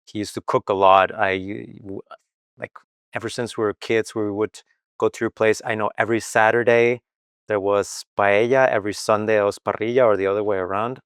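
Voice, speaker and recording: A man from Venezuela hosts a podcast, asking casual, rambling questions packed with fillers. His voice sits fairly low and sounds smooth and clear. The recording is dry and close. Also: fairly even intonation, brisk, a bit bright, no room sound. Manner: loose, rambling, lots of "like" and "you know". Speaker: podcast host.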